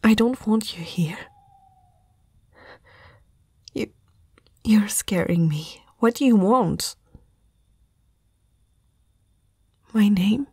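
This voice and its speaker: A woman playing a proud, frightened young princess in a whispered fantasy ASMR roleplay, speaking formally and haltingly in a breathy voice. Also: medium pitch, darkish tone, no room sound, studio quality. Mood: wary, insistent. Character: proud, frightened eighteen-year-old princess confronting a night intruder. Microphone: close microphone, dry.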